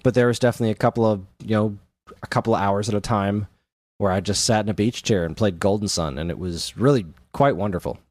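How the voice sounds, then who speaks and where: A man's voice: lowish voice, fast talker, no echo, clean studio sound. A man co-hosting a podcast, chatting casually and telling stories in a conversational way. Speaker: podcast co-host. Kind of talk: casual chat about video games.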